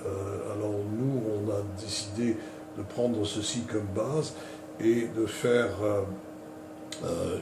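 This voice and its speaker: Hesitant older man, distant roomy sound. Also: lowish voice. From Canada. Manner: hesitant, many fillers and restarts. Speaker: interviewee. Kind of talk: self-introduction, unscripted. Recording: roomy and distant with clear noise.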